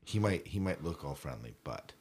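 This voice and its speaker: A man's voice: very deep voice, very animated, moderate pace, a little mellow. A man hosting a casual fan podcast with jokey, geeky banter. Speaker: podcast host.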